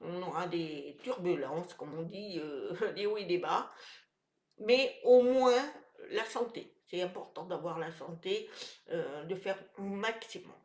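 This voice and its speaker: A woman's voice: fairly low pitch, unhurried, darkish tone, reverberant. A woman with a head cold, her voice nasal and stuffy. She gives wellness tips to her viewers in a hesitant, casual way, with many fillers and restarts. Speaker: wellness vlogger. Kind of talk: casual talk to camera.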